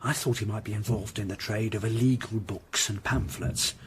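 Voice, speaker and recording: A man's voice: fairly low pitch, moderate pace, a little hiss or hum, close to an everyday voice. An actor playing an anxious, hesitant Tudor master tradesman giving reluctant, deferential witness in a period radio drama. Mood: deferential, confiding. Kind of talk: period audio drama acting.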